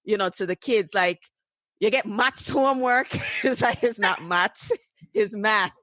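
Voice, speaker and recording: A woman's voice: mid-range voice, unhurried, a bit bright, no room sound. A middle-aged woman with a Jamaican accent, hosting a podcast and telling stories in a chatty way full of fillers. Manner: chatty, storytelling, many fillers. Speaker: podcast host. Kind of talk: conversational interview.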